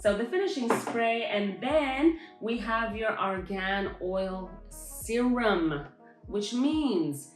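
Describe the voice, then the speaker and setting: A woman who owns a henna hair care shop, chatting enthusiastically and directly to viewers about her products. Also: mid-range voice. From Montreal, Canada. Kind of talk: product showcase vlog. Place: her salon and shop space. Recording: roomy space with light music.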